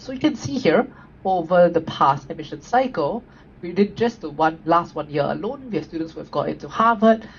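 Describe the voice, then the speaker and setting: Woman host, webinar presenter, low gravelly voice, dull lo-fi call audio with faint hiss. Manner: upbeat, frequent tag questions. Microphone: video call.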